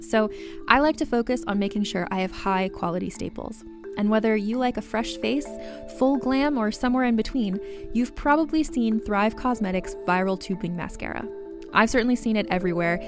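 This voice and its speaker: A woman's voice: fairly high pitch, a little fast. A woman presenting a scripted advertisement in a conversational, personal-endorsement style. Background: music.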